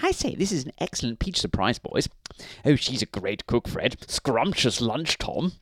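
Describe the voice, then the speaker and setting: A man's voice: mid-range voice, very animated, moderate pace, a bit bright, breathy edge, dry recording, voice pushed up, exaggerated voice. A man voicing a cheeky, greedy seagull who mocks and gloats in a children's storybook. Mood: gleeful.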